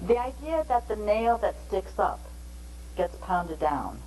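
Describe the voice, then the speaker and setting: A woman giving a measured, explanatory training lecture on interpreting, pausing between phrases. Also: medium pitch, big pitch swings, mellow, slight background noise, low-quality recording.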